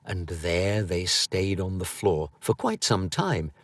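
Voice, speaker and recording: Male audiobook narrator, lowish voice, unhurried, theatrical delivery, dry studio sound. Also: no echo. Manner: theatrical, expressive storytelling. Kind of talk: scripted storybook narration.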